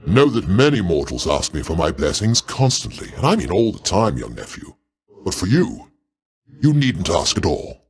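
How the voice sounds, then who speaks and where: A man's voice: lowish voice, darkish tone. A man voicing a mighty older god king for a video game, a genial, doting uncle with grand, courtly old-fashioned diction. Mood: wry, jovial. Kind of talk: theatrical video game voice acting.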